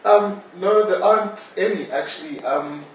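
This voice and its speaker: A man's voice: high voice, animated, a little slow. A man with a South African accent explaining things as a guest in a live interview. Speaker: interviewee, audio drama maker. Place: echoey indoor space, live-streamed discussion. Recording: very echoey room, distant mic, faint noise.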